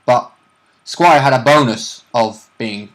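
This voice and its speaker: A man's voice: mid-range voice, takes it slowly, fairly bright tone. A man chatting casually as a player and co-host, with informal, joking banter. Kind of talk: casual gaming chat.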